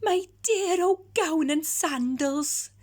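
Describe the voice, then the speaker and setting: A woman's voice: extremely high pitch, takes it slowly, pitched above the performer's own voice. A woman voicing a kind, emotive giant man in a children's storybook. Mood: overjoyed. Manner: emotive, sing-song rhyming. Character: kind, cheerful giant man, prone to sorrow.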